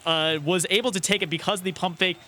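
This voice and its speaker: A man's voice: high-pitched, fairly even intonation, fast talker, sharp tone. A young man giving unscripted basketball analysis as a radio color commentator.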